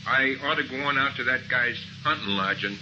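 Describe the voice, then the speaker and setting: A man's voice: mid-range voice, fairly quick, lo-fi sound, lightly acted. An actor playing a doubtful male telegram messenger in a 1950s radio drama, hesitant but insistent. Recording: noisy vintage radio recording.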